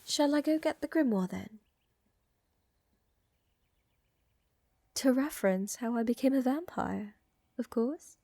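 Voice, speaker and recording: Woman playing a breathy, whispering vampire countess. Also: sits high, expressive, moderate pace, no room sound, studio quality. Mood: playful, thoughtful. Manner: soft, whispery, formal and genteel phrasing. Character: elegant, flirtatious, tender female vampire countess. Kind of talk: roleplay ASMR, intimate scripted acting.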